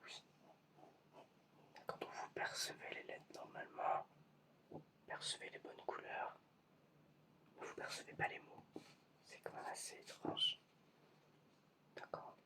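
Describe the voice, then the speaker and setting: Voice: slow pace, pauses often, distant mic. An ASMR creator whispering through a playful eye-test roleplay, asking patient, mock-puzzled questions. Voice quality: whispered, unvoiced.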